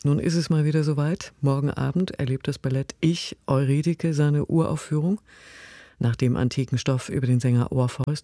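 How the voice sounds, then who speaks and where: A male radio host introduces the next segment with smooth, formal announcing. His voice is dark and mellow, recorded dry and close in a studio. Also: medium pitch, no room sound. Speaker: radio presenter. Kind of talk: scripted radio moderation.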